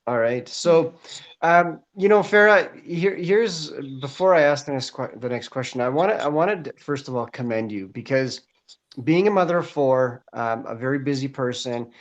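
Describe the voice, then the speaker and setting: An enthusiastic man hosts an interview, speaking at an average pitch. He leans on fillers and restarts, keeping things informal and upbeat. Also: moderate pace, muffled recording. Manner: enthusiastic, many fillers like um and you know. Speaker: host, leadership coach. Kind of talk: podcast interview hosting.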